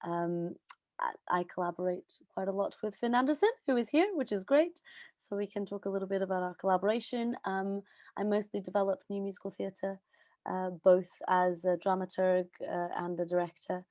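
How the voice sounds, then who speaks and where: A woman, a theatre director, speaking in a measured way with frequent ums. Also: mid-range voice, darkish tone, dry recording. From Portugal. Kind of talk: panel introduction on a video call. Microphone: video call. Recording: dry, dull video call audio.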